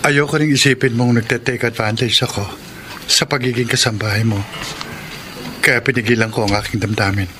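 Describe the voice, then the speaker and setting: A man with a Filipino accent playing a kind, sincere suitor in a radio drama, speaking deliberately. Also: medium pitch, steady tone, noisy background, close to an everyday voice. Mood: earnest, tender. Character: kind, sincere older suitor confessing love to his housemaid.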